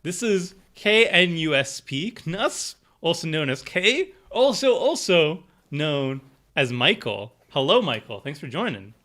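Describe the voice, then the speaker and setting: A man hosts a card game livestream in a bright, forward voice. He chats loosely with plenty of fillers and laughs easily. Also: fairly high pitch, sing-song, unhurried. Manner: chatty, filler-heavy, laughs often. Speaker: stream host. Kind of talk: casual livestream chat.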